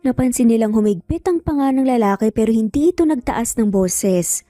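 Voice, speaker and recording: A woman's voice: fairly high pitch, lively intonation, brisk, warm tone, no room sound, clean studio sound, faint music behind. A woman with a Filipino accent narrating a dramatic scripted story.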